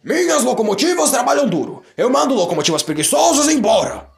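A man's voice: extremely high pitch, very animated, a little fast, vocal fry, voice pushed up, heavily acted. A man voicing a stern, pompous but fair older railway controller in a cartoon, with authoritative emphasis.

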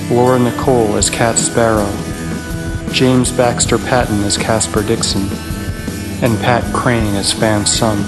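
Evenly pitched, lowish male credits announcer. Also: rough recording. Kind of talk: scripted credits narration. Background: guitar music.

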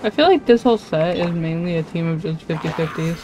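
A woman's voice: on the low side, lively intonation, fairly quick, rough recording. A woman livestreamer chatting casually and informally, rambling and thinking aloud as she plays. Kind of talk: casual game stream chat. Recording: game music behind the voice. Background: video game music.

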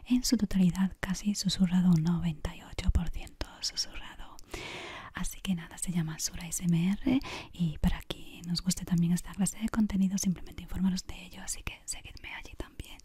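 A woman with an accent from Spain whispers gently and soothingly in a breathy voice, playing an ASMR shop assistant up close to the mic. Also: lowish voice, steady tone, brisk. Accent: Spanish from Spain. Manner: soft, gentle, soothing, many diminutives. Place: small indoor room. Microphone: close microphone. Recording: close mic with clear background noise.

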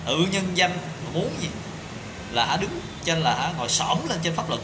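A man's voice: on the high side, animated, moderate pace. A man in his thirties speaking an indignant opinion monologue to camera, full of rhetorical questions and fillers, filmed on a phone in a small tiled room. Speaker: commentator. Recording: echoey tiled room with background noise.

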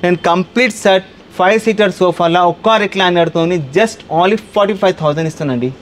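A man in his thirties with a Hyderabad Telugu accent gives an unscripted furniture sales pitch, insistent and repetitive, peppered with English words. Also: fairly quick. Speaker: furniture shop owner and salesman. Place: large indoor furniture showroom. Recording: clear background room noise.

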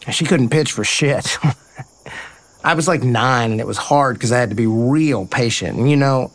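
An actor playing a playful, sincere baseball player, casual and earnest in a naturalistic audio drama. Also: male voice, medium pitch, measured pace. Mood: nostalgic, sincere.

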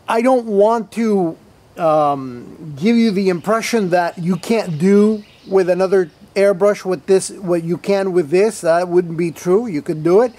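A man's voice: sits high, darkish tone. A man hosting an airbrush tutorial, talking casually while he shows the tool at a table, rambling with fillers, restarts and playful asides. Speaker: host of an airbrush tutorial show. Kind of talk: casual product demonstration. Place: indoor table-top studio, hands-on demo.

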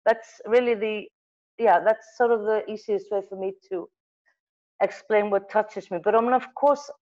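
A woman's voice: medium pitch, normal speed, dull audio. A middle-aged Icelandic woman, a magazine editor, telling stories animatedly over a video call with an earbud mic, often restarting her sentences. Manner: animated storyteller, gestures, restarts and self-corrections. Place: room with wall of photos. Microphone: earbud headset mic on video call.

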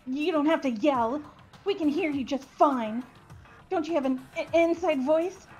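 A woman's voice: sits high, a little slow, a little mellow, faint music behind. A woman voicing a jittery, sour, accusing teenage girl in a visual novel game, nervous and snide, on a muffled microphone.

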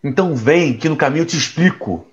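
A man's voice: on the high side, animated, fast talker, dull audio, lightly acted. Man acting a casual, reckless young man. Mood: confident. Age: young adult. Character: reckless young man caught in crooked dealings. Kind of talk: audio drama acting.

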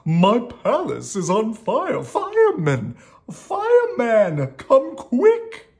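Woman as a pompous storybook king, easily upset, high-pitched, swooping, drawn-out theatrical cries. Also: very animated, a little mellow, full character voice. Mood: panicked.

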